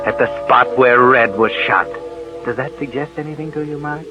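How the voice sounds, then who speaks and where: A man's voice: mid-range voice, moderate pace, lightly acted. An American man playing a knowing, sardonic narrator who needles a suspect, in 1940s radio suspense style. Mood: ominous, needling. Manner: knowing, insinuating. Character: sardonic, ominous male narrator needling the suspect as his conscience. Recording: muffled old radio broadcast, loud music.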